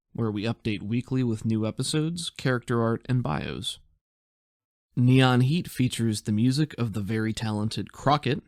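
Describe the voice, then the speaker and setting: A man's voice: mid-range voice, a little mellow, no echo, studio quality. A man narrating a tabletop game as game master and podcast host, in an informal, descriptive storytelling way.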